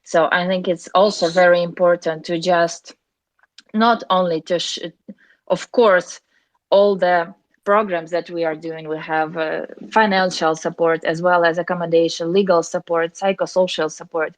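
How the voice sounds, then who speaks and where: A young woman speaks low and evenly, with little rise or fall in her voice. She talks earnestly and carefully as a charity communications officer, often restarting phrases. The recording is close and dry, with no room sound. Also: steady tone, normal speed, no room sound. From Ukraine. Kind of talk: interview answers.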